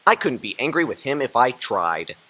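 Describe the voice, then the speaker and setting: A jovial, good-humoured young nephew holds court at a party, as an actor plays him in a radio drama. His voice swings lively up and down with a bright ring. He speaks warmly and laughs easily. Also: mid-range voice, normal speed, poor audio. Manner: hearty, laughing, warm-hearted. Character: jolly young man Fred, Scrooge's nephew.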